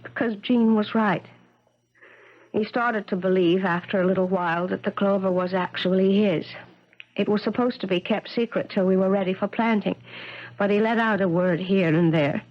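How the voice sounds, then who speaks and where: An actress playing an earnest, self-sacrificing woman professor in a 1970s radio drama, speaking haltingly with dramatic phrasing. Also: medium pitch, steady tone, lightly acted. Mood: hesitant, confessing. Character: earnest, self-sacrificing female professor, anguished and secretly lovelorn. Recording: dull vintage radio broadcast sound.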